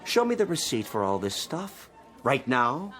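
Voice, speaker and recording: A man's voice: mid-range voice, sing-song, unhurried, fairly bright tone, a faint crowd. An actor playing a bossy, suspicious policeman with a curt, commanding manner and folksy grammar, in radio drama style. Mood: brusque, suspicious.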